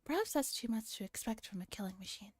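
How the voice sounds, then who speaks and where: A woman's voice: on the high side, lively intonation, fast talker, dry recording, faint music behind. A woman voicing a pompous, suspicious young would-be mad scientist in a visual novel read-aloud. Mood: suspicious, grandiose. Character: dramatic paranoid young man, self-styled mad scientist.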